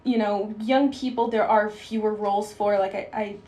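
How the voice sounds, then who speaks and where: A woman of twenty-two, a guest singer on a radio show, explaining clearly and filling gaps with "you know". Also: medium pitch, fairly even intonation, normal speed, mellow, echoey room. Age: young adult. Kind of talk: interview answers.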